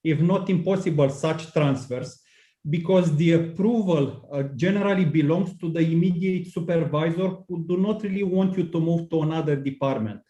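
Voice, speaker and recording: A man taking part as a subject expert in a panel discussion, explaining at length in a deliberate manner with fillers. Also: fairly high pitch, dark tone, reverberant, dull audio.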